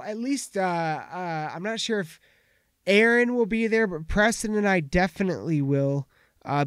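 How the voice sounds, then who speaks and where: A man's voice: a little high, creaky, clear, resonant tone, no room sound, clean studio sound. A young man hosting a casual podcast, talking loosely and with plenty of swearing while getting over a head cold and sniffling. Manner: loose, profane, rambling. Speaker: podcast host.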